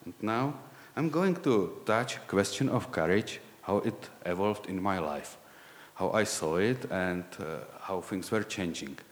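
A man in his forties with a Czech accent, a lay preacher giving a sermon in a halting, candid way, often searching for words. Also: low-pitched, animated, in a large hall. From Czech Republic.

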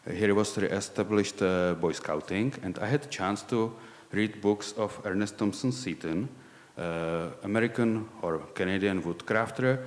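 A man in his forties with a Czech accent, a lay preacher giving a sermon in a halting, candid way, often searching for words. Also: lowish voice, in a large hall. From Czech Republic.

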